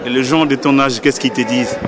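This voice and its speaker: A man's voice: mid-range voice, steady tone, brisk, over music. A man interviewing guests at an event, asking direct, probing questions. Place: indoor book fair hall. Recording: busy crowd chatter behind.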